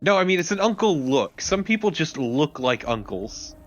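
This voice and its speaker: A man's voice: fairly high pitch, big pitch swings, moderate pace, fairly bright tone, highs cut off. A man co-commentating on a video game in casual, wry banter with some swearing. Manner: wry, profane. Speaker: co-commentator of a let's play. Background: game soundtrack music.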